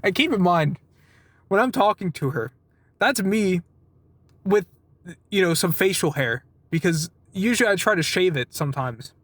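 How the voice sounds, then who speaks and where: A man in his twenties with a noticeably high voice talks casually to his viewers. The sound is dry, with little room in it. He rambles through personal stories in an informal way and acts out bits of conversation as he goes. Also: lively intonation, moderate pace, long pauses, fairly bright tone, no room sound. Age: 20s. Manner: acts out quoted exchanges. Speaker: vlogger giving a weekly update.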